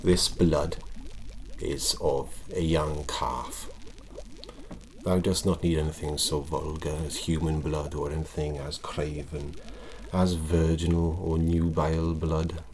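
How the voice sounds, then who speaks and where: A man with a Welsh accent playing a sinister, pompous Elizabethan alchemist in a hushed, deliberate ASMR roleplay. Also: very deep voice. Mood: solemn, commanding. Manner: archaic, pompous, deliberate phrasing. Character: wretched, sinister Elizabethan male alchemist, grandly mystical. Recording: light steady electrical background noise. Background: liquid sounds and clicks.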